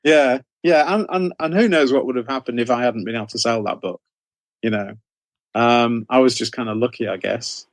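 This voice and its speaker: A middle-aged man with a British accent talks casually in an interview. His pitch is average for a man and he wanders conversationally through his points with a modest air. The recording is dry, with hardly any room sound. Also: normal speed, no echo. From United Kingdom. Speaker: interview guest, novelist.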